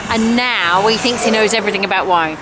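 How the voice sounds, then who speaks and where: A woman's voice: mid-range voice, brisk, background noise. A woman in her forties with an English accent, chatting and laughing with her husband for a vlog on a night out. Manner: chatty, laughs often, teasing. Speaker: vlogger co-host. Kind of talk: casual chat on a night out. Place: outdoors on town streets, then a busy restaurant. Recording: loud music and crowd behind.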